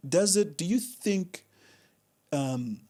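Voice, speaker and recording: A man hosting a podcast in a studio, asking questions in a relaxed, chatty way. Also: on the high side, slow pace. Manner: relaxed, chatty, lots of fillers and restarts. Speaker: podcast host. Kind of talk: casual interview chat.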